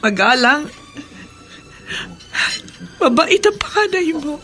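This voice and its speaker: Earnest, troubled middle-aged mother, higher-pitched. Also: very animated, slow pace, sharp tone, exaggerated voice. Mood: ashamed, earnest. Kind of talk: Tagalog radio drama acting.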